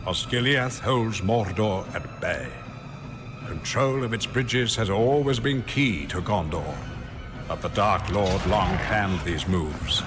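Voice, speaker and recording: Deep, grave old wizard over music. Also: male voice. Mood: solemn. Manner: portentous, deliberate, formal. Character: grave, commanding old male wizard guiding warriors in war. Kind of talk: solemn scripted game narration. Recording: voice under clear background music.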